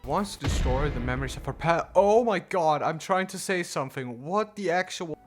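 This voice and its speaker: A man doing live gameplay reaction commentary, expressive and exclamatory. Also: on the high side, normal speed, soft background music. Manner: expressive, exclamatory, reads game text aloud. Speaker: gaming streamer.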